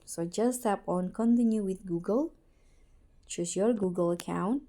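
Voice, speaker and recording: A woman narrating a casual how-to tutorial as its host, in a halting way with repeated and corrected words. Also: mid-range voice, warm tone, a little hiss or hum.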